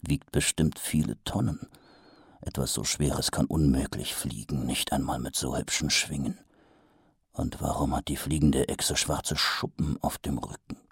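A man voicing a weary, sardonic city watch captain who mutters in a low, half-whispered grumble. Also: fairly even intonation, measured pace, creaky, husky, no echo, studio quality, full character voice. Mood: grumbling.

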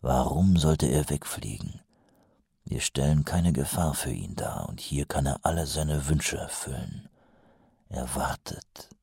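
Audiobook character: man doing a gruff, brooding captain, very deep, husky, quiet and cool, dry read. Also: measured pace, no room sound, heavily acted. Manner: low, muttering, cool. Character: gruff, weary male watch captain.

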